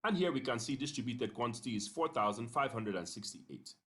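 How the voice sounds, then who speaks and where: Man narrating a step-by-step software tutorial. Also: mid-range voice, expressive, brisk. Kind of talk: instructional screencast narration.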